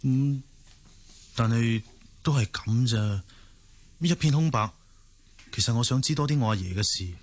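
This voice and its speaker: A man's voice: medium pitch, measured pace, long pauses, dry recording, close to an everyday voice. An actor with a Hong Kong Cantonese accent plays an earnest, puzzled young man in a radio drama. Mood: uneasy.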